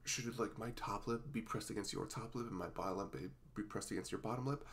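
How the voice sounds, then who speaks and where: A man playing a sweet, goofy, nervous young boyfriend in an intimate ASMR roleplay, halting, flustered and stammering as he talks. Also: lowish voice, creaky, breathy edge. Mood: awkward, flustered. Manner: halting, flustered, stammering, apologetic, often giggly.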